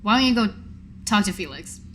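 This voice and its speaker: A woman playing a broody, gruff adventurer in a tabletop game, terse and deadpan. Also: mid-range voice, brisk, slight background noise, lightly acted. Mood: terse, aloof.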